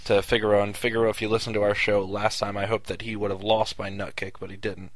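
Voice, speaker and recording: A man's voice: on the low side, monotone, fast, no echo. A man co-hosting a casual sports podcast, laid-back and wry, teasing his partner. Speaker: podcast co-host.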